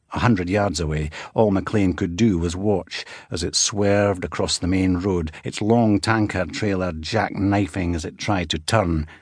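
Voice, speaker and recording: Deep husky male audiobook narrator. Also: no echo, clean studio sound. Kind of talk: scripted thriller narration.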